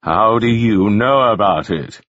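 A man voicing a stern, calculating jailer who questions in a slow, probing way. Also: fairly low pitch, wildly swooping pitch, husky, dry recording, full character voice. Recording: dull lo-fi recording.

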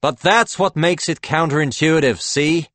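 A man voicing a brash, foul-mouthed, excitable male banker in an audiobook, talking emphatically and impatiently like an evangelist for his schemes. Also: medium pitch, normal speed, no echo, close to an everyday voice. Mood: excited, forceful. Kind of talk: audiobook character dialogue.

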